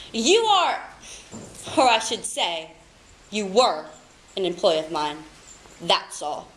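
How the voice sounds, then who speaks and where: A haughty boss who is dismissive and mocking, drawing out her words with cold scorn, played by a woman. Her voice is slow and lively in pitch, with a bright edge. The recording has a roomy echo. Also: slight background noise. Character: cold, haughty female boss firing an employee.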